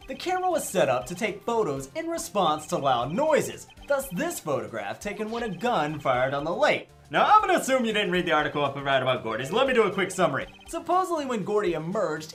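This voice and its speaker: A man's voice: high-pitched, fairly bright tone, exaggerated voice. A man voicing a sarcastic young defense attorney in a game fandub, wisecracking and theatrical as he presses his case. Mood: confident, then accusing. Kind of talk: video game fandub character acting.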